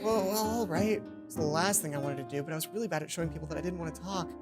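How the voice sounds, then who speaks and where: A woman's voice: deep voice, racing. A woman doing a let's play, reading a visual novel's story aloud dramatically and dropping in casual asides about her own slips. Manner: acts out dialogue, stumbles and comments on own slips. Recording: music under the voice. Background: game music.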